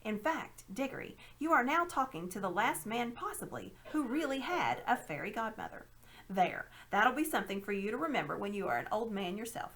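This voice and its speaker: A woman's voice: fairly high pitch, very animated, a little fast, fairly bright tone. Woman putting on a pompous, self-important old uncle. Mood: grand, boastful. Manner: pompous, condescending, grandiose. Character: smug, self-important old man, a scheming magician uncle. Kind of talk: storybook read-aloud character voice.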